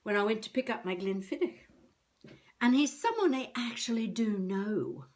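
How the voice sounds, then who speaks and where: A woman playing a feisty elderly would-be novelist, rambling wryly and haltingly through a comic monologue as a video diary. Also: a little high, lively intonation, natural voice. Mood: wry musing. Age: older. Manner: wry, musing, halting, talking to herself.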